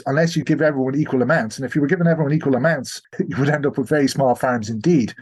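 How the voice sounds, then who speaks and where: A man's voice: on the high side, darkish tone. A man with an Irish accent, speaking as a guest historian in an explanatory interview, often posing questions and answering them himself. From Ireland.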